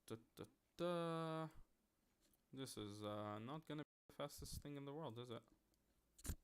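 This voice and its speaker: A man's voice: mid-range voice, moderate pace, clear, resonant tone. A man from Lebanon doing casual livestream commentary as he works, thinking aloud with playful little sound-words and muttered self-mockery. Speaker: streamer, game level developer.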